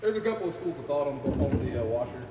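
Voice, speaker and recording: A man's voice: mid-range voice, animated, quick pace. An American man giving a casual, rambling hands-on repair vlog, muttering in a folksy, self-deprecating way as he works. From United States. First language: English. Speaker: trucker and vlogger. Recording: echoey, distant mic, clear workshop noise.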